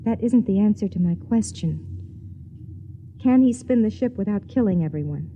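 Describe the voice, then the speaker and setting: An actress playing an anxious, stubborn young pregnant wife who also narrates, in the style of 1950s radio drama. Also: female voice, mid-range voice, expressive, moderate pace, a little hiss or hum, lightly acted. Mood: anxious questioning. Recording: tinny old radio broadcast, music behind.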